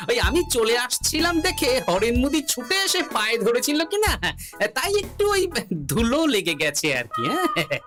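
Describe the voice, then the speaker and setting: A woman's voice: a little high, big pitch swings, normal speed. A woman voicing a witty, self-important male court jester in a comic cartoon, with theatrical, wry delivery. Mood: boastful, evasive. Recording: music behind the voice.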